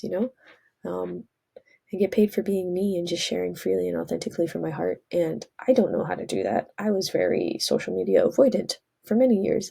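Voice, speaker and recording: A woman's voice: lowish voice, gravelly, mellow, slightly rough. A woman, congested from a cold, rambling earnestly in a casual spiritual vlog monologue. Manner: rambling, earnest, self-correcting. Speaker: vlogger.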